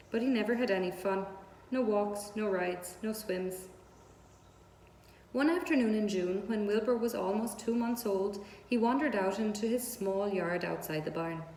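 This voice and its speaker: A woman reading a children's book aloud as a storyteller, with measured, deliberate phrasing. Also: mid-range voice, darkish tone. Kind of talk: scripted children's book narration. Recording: roomy hall-like echo, audible background noise.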